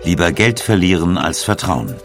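A man's voice: deep voice, big pitch swings, normal speed, creaky. A man delivering scripted documentary narration as the narrator. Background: music.

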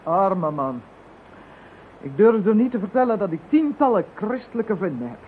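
An actress playing a calm, earnest woman who practices magic and tries to persuade a frightened man. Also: mid-range voice, moderate pace, slight background noise, lightly acted. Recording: tinny lo-fi old radio recording.

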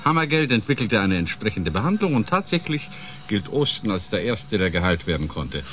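An actor playing a level-headed spaceship psychologist in a radio drama, explaining in a conciliatory, lecturing way. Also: male voice, on the low side, big pitch swings, fairly quick, fairly bright tone, a little hiss or hum. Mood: explanatory. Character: calm, balancing male ship psychologist and biologist.

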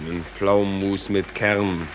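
Laconic hardened, cynical stoker with a lowish voice, noisy vintage radio-play sound. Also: male voice, level tone, takes it slowly. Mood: indifferent. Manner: laconic, resigned. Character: cynical, fatalistic seasoned stoker on a smuggling ship. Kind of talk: 1940s German radio drama acting. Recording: noisy 1940s radio drama recording.

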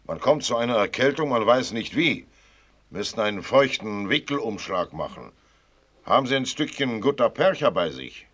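A man's voice: medium pitch, very animated, normal speed, a bit bright, muffled recording. An actor in a German radio play voicing a blunt, fussy older father who grumbles in a clipped manner. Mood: fussing, scolding.

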